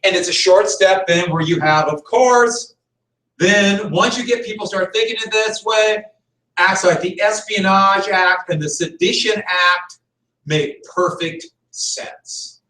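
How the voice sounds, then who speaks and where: A man's voice: high-pitched, slow, pushed and bright. A male history teacher giving a conversational classroom lecture, repeating key points for emphasis. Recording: echoey room, mic far off.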